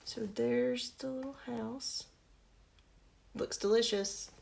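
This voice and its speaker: A woman's voice: fairly high pitch, steady tone, slow, creaky, a little mellow, slight background noise. A woman reading a children's storybook aloud on a livestream, chatty and informal in her asides. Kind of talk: children's picture-book read-aloud with casual asides.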